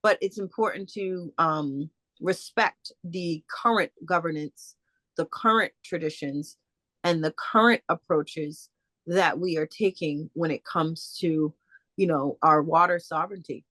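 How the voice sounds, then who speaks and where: A woman speaker answering audience questions in a casual, halting way, with many fillers and restarts over a video call. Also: low-pitched, a bit bright, dry recording, low-quality recording. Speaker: guest speaker.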